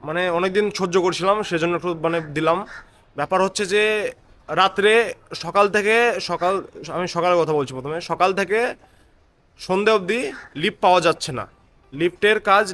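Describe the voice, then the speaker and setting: High-pitched Bengali man vlogging with a cold. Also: a bit bright. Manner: rambling, repeats phrases. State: has a cold. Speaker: vlogger, construction site labour supervisor. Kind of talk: casual vlog chat to camera. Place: outdoors on busy streets and a building site. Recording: background noise from street and construction site.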